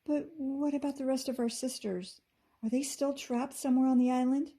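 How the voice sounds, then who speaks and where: A woman's voice: sits high, steady tone, moderate pace, dark tone, breathy edge, voice pushed up. A woman voicing a sweet, caring little fairy girl in a storybook, with earnest expression. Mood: anxious pleading.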